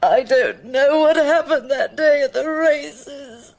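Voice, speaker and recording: An actress with a British accent playing a timid, tender middle-aged French widow in a radio drama, soft, breathy and hesitant. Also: female voice, high-pitched, takes it slowly. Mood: grieving, tearful. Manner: hesitant, wistful.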